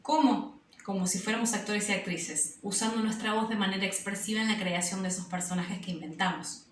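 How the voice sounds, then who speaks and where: A woman's voice: mid-range voice, steady tone, a little fast. Echoey, slightly distant woman teacher. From Argentina. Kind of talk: instructional presentation. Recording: echoey room, distant mic, faint noise.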